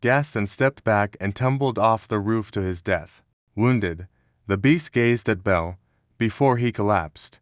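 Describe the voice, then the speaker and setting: Male storybook narrator, scripted read, fairly deep, measured drama, no room sound. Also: no room sound, studio quality.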